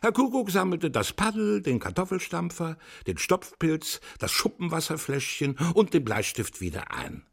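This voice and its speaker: A man narrating a children's radio play in an expressive scripted storytelling style. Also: a little high, normal speed, gravelly, few pauses.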